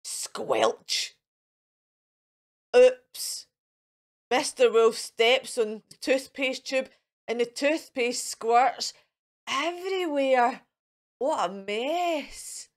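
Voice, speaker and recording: A woman reading a picture book aloud to children in an expressive, sing-song way, acting out sound effects and adding asides. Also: high voice, drawn-out words, long pauses. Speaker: children's storyteller. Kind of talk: animated picture-book read-aloud with asides to young viewers.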